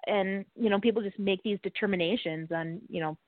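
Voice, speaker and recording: A woman speaking as an interview guest, giving conversational commentary in an earnest, halting way. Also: medium pitch, no echo. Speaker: podcast co-host as interview guest. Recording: dry, narrow phone-line sound.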